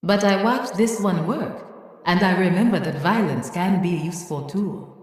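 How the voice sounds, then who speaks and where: A woman's voice: lowish voice, normal speed, darkish tone, lightly acted. A woman playing a cool, calculating schemer in a video game, with a knowing, insinuating, menacing manner. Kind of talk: video game drama acting. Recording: roomy reverb over music.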